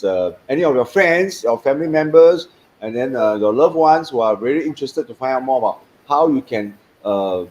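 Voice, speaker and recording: A man's voice: mid-range voice, a little mellow, muffled recording, a little hiss or hum. A man, hosting a casual stream and rambling with lots of fillers and restarts. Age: 50s. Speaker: host. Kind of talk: casual live-stream chat.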